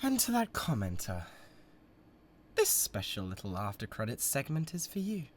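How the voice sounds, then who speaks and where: A young British man voicing an obsessive, menacing young male streamer in an ASMR audio drama, swinging between whispers and shouts. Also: mid-range voice, huge pitch swings, normal speed. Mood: hushed. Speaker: voice actor.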